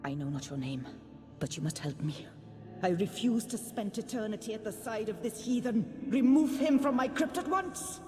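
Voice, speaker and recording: An actress playing the pious ghost of a nagging noble wife, speaking in a sanctimonious, reproachful way. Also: female voice, fairly high pitch, expressive, moderate pace, darkish tone, natural voice. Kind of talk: video game voice acting. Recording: game audio with reverb and music.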